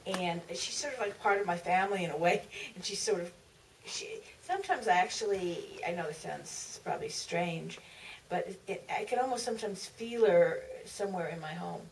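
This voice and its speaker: A woman giving reflective answers as a guest at a Q&A, searching for her words and restarting phrases. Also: lowish voice. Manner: halting, searching for words, repeats starts of phrases. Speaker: author, panel guest. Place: theater stage at a public Q&A. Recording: distant mic, faint room noise.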